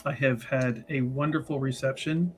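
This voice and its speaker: A man casually recapping a tabletop game as a player, halting and wryly understated. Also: mid-range voice, steady tone, a little hiss or hum. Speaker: player on an actual play show. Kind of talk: casual recap and character introduction.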